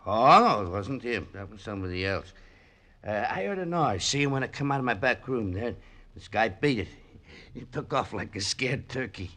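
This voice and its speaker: A man's voice: on the low side, expressive, normal speed, fairly bright tone. An actor playing a folksy, suspicious male garage attendant with rustic, ungrammatical speech in an old-time radio drama. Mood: excited, explaining.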